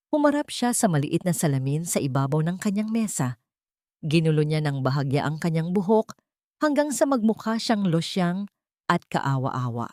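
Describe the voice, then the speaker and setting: A woman storyteller reading scripted dramatic fiction in a measured, literary manner, close to the microphone. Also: lowish voice, darkish tone, no room sound. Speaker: storyteller, narrator.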